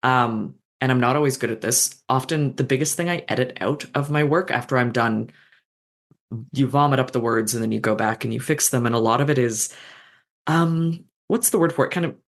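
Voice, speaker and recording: Informal, thoughtful author in a mid-pitched voice. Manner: informal, halting and thoughtful, sprinkles in slang and swearing. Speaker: author, interview guest. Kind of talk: casual reflective interview talk about craft.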